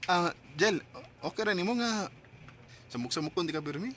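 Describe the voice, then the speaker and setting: A man's voice: sits high, animated, moderate pace. An actor with a Filipino accent playing an eager, boyish young rural man in love in a radio drama. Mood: sweet, courting. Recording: clearly noisy radio drama recording.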